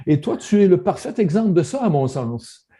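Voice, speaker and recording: A man's voice: high voice, big pitch swings, dark tone, husky. A man from Northern Ontario with a Franco-Ontarian French accent, hosting a casual conversation and often restarting his words as he thinks. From Northern Ontario, Canada. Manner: halting, repeats words, reflective. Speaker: podcast host.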